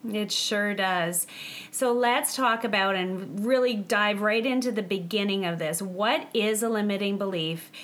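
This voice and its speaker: Woman host asking clear questions. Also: mid-range voice, a little slow. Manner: warm welcoming, leads with questions.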